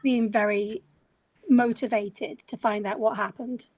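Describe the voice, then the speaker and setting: A woman's voice: mid-range voice, fairly even intonation, measured pace, a little mellow, no echo. A woman from Scotland, a crime writer speaking on a panel over a video call, modest and slightly hesitant. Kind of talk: panel discussion.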